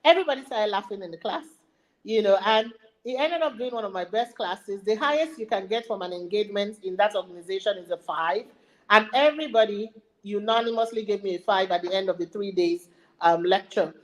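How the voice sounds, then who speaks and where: A woman's voice: medium pitch, fairly quick, low-quality recording. A woman with a Nigerian accent giving an emphatic motivational webinar talk, repeating her key phrases for effect. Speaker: learning and development speaker.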